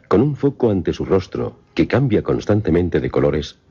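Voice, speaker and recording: A man with an extremely deep, dark voice narrates a scripted film synopsis. He is formal and dramatic, in a slightly muffled voice-over with music underneath. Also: very animated, moderate pace, husky.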